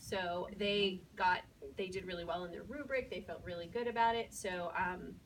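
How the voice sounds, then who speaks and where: A woman's voice: fairly low pitch, fairly even intonation, normal speed. A woman speaking as a first-grade teacher, explaining things informally to an audience in a step-by-step way. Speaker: first-grade teacher presenting student work. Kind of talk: informal spoken presentation to an audience. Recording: distant mic, faint room noise.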